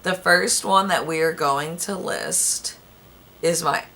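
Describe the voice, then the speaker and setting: A young woman with a raspy voice, chatting casually and gushing about her favorite movies, thinking aloud as she goes. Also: low-pitched, slow pace, pushed and bright. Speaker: vlogger.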